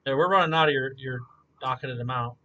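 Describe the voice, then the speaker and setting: A young man chatting casually and joking while he builds a map on stream, thinking out loud as he works. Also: medium pitch, a little fast, dull audio. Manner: joking, laid-back, thinking aloud. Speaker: mapmaker streaming his work. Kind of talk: casual chat while working.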